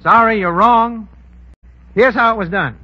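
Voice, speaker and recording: A man with a husky voice, a guest crime expert giving scripted explanations in a 1930s radio interview. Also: high voice, unhurried. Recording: dull, lo-fi 1930s radio recording.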